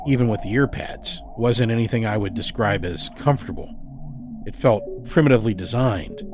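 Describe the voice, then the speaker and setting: Expressive man narrating horror fiction over music. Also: fairly low pitch. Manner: dramatic, expressive. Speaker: storyteller. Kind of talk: scripted first-person horror fiction narration. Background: musical score.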